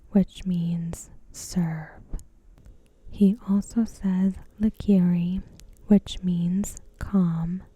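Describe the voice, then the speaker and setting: A woman giving ASMR commentary as a host, in a soft, breathy whisper with a gentle, measured, deliberate delivery. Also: lowish voice. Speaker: video host.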